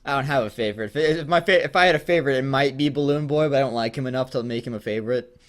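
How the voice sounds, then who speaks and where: A man's voice: mid-range voice, racing. A man co-hosting a casual, joking read-aloud of fan fiction, mixing story reading with commentary.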